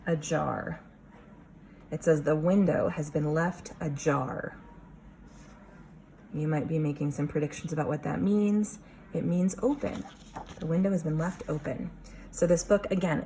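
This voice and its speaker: A woman teacher reading a picture book aloud to young children, expressive, pausing to question and explain. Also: low-pitched, vocal fry, slight background noise, rough recording.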